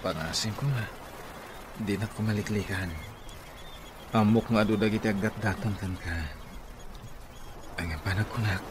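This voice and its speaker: A man's voice: fairly low pitch, steady tone, moderate pace, long pauses, close to an everyday voice. An actor playing an earnest, sentimental young man in love, with an Ilocano Filipino accent, in a radio drama. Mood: earnest, pleading. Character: lovestruck, earnest young man courting a woman. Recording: noisy radio drama track with ambience.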